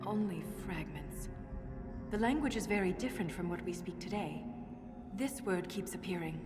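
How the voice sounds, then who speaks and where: In a cavernous echo with loud music underneath, a woman acts an earnest female dwarf scholar from a fantasy game. She speaks in measured, reverent, analytical phrases, as one studying ancient stone. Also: fairly low pitch, recorded from a distance, natural voice. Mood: hushed, intent. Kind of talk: video game cutscene acting.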